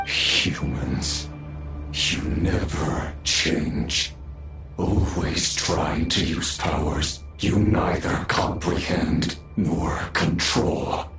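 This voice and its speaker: An ancient dark game villain, low and unhurried. The voice echoes over music, dripping with grand, menacing contempt. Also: male voice, heavily acted. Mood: contemptuous. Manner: slow, disdainful, grandiose. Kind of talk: video game voice acting. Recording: echoing voice over clear music.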